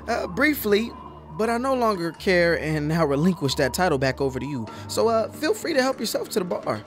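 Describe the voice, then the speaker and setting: An actor playing a nervous, modest city lawyer made sheriff, stammering politely in a comic western audio drama. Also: male voice, high voice, very animated, normal speed, soft background music.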